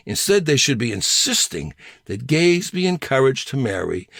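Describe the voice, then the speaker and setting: A man reading his own humorous essay in a deliberate, deadpan manner. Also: medium pitch, vocal fry, no room sound, clean studio sound.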